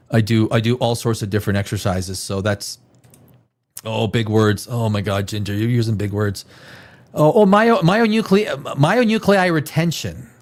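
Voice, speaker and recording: A man hosting a live stream, chatting casually with his viewers and reading their comments. He rambles and repeats himself, and sounds stuffed up from a cold. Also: mid-range voice. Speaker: livestream host.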